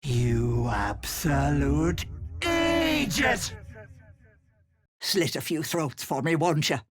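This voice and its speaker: An actress playing a gleefully malicious old hag in a video game, raspy and theatrical, with mock-sweet endearments and taunting menace. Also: female voice, sits low, sing-song, very slow, full character voice. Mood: venomous, menacing, then folksy, chatty. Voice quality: husky, raspy cackling old voice.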